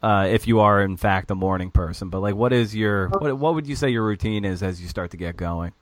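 Low-voiced male podcast host. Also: no room sound. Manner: halting, restarts while asking questions. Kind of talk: casual interview hosting.

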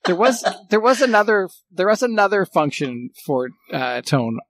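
A man's voice: sits high, big pitch swings, normal speed, fairly bright tone, dry recording. A man, a guest giving a casual, matter-of-fact technical explanation in methodical steps. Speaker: guest, former radio technician.

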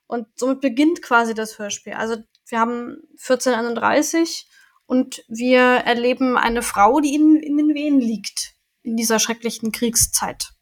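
A woman with a fairly high voice, co-hosting a podcast in easy, casual conversation. She thinks aloud as she goes, with plenty of small fillers. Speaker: podcast co-host. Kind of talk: casual chat reviewing an audio drama.

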